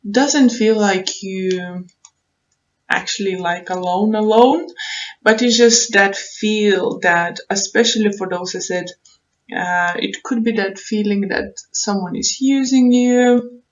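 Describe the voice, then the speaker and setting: Slow-paced woman giving a tarot reading. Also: medium pitch, lo-fi sound. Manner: halting, repeats phrases, thinks aloud. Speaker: tarot reader, online show host. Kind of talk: unscripted tarot reading, advice to viewers.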